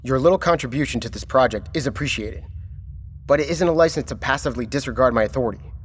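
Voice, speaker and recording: Man playing authoritarian male official, mid-pitched, commanding, condescending, audio drama, reverberant hall, noisy background. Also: quick pace, natural voice. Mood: domineering. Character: stern, authoritarian male government chief interrogating subordinates.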